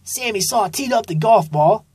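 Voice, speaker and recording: A woman narrating a children's story expressively and deliberately, drawing out key phrases, recorded in a studio. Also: medium pitch, animated, mellow, breathy edge, dry recording. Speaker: author reading own children's book.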